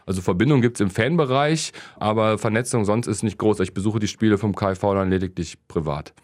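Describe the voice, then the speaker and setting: Relaxed man chatting with fillers. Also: fairly low pitch, brisk. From Krefeld, Germany. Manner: relaxed, plain, hesitant with fillers. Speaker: co-host. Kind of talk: casual chat, question round.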